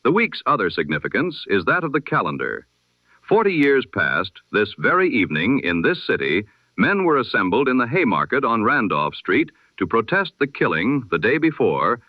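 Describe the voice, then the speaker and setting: A man reading a history broadcast in the style of an old-time radio newsreader, measured, solemn and formal. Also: medium pitch, wildly swooping pitch, no echo, highs cut off.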